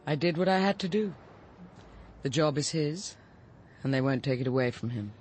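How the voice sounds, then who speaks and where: A woman's voice: low voice, animated, normal speed, darkish tone, a little hiss or hum. An actress playing a cool, resolute middle-aged woman confessing to murder, with an English accent and an articulate, unsentimental delivery in radio drama style. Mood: resolute, composed. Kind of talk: BBC radio drama acting.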